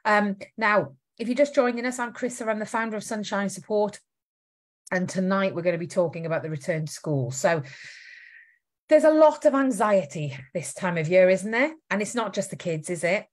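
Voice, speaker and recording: A woman from Wales whose Welsh accent has softened over the years. She hosts a casual live stream and talks in a chatty, lively way, greeting viewers and reading out their comments. She is speaking into a webcam or phone mic. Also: medium pitch, moderate pace. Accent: faint Welsh. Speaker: founder hosting a live stream.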